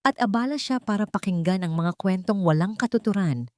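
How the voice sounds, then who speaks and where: A woman's voice: medium pitch, expressive, fairly quick, a little mellow, dry recording, lightly acted. Villainess for an audio drama, voiced by a woman narrator: cold rich wife, playful menace, lilting taunts, dry sound. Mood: taunting, cold.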